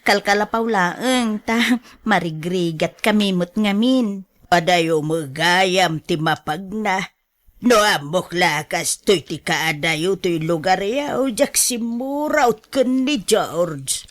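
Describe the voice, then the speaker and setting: A woman's voice: lowish voice, slow pace. A woman playing a polite young rural girlfriend in a radio drama, deferential and apologetic as she hosts her elders. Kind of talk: Ilocano radio drama acting.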